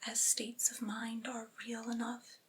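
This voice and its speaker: A woman reading literary prose aloud in a breathy, unvoiced ASMR whisper, with measured, deliberate delivery. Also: a little high, monotone.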